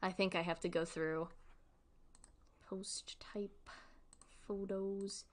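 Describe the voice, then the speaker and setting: A woman's voice: medium pitch, fairly even intonation, slow pace. A woman streamer giving casual livestream commentary in an informal, rambling, giggly way.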